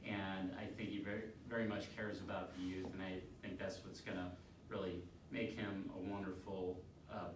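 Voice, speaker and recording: A middle-aged man giving an unscripted testimonial, halting and rambling a little. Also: sits low, flat delivery. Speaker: youth soccer coach giving a testimonial. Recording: echoey room, distant mic, clear noise.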